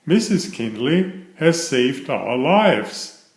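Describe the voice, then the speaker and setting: A man's voice: mid-range voice, big pitch swings, slow, roomy sound. A man reading the part of an earnest engine driver in a children's storybook. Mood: alarmed, relieved.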